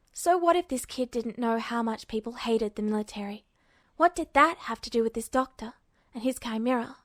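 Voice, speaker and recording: A woman's voice: a little high, no room sound, studio quality. A woman narrating a scripted piece of fiction as an audiobook-style reader. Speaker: podfic narrator.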